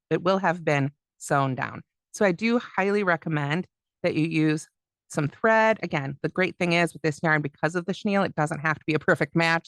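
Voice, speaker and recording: A woman's voice: sits low, fairly bright tone, no echo. A woman teaching an online crochet class, walking through each step as she works with her hands in a friendly way. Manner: friendly, step-by-step, talks while demonstrating by hand. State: losing her voice, coughing. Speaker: crochet instructor. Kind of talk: live online craft class demonstration.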